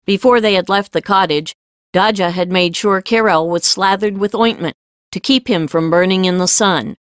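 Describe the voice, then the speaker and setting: A woman reading scripted fiction as an audiobook narrator, with measured storytelling delivery. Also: mid-range voice, no room sound, studio quality.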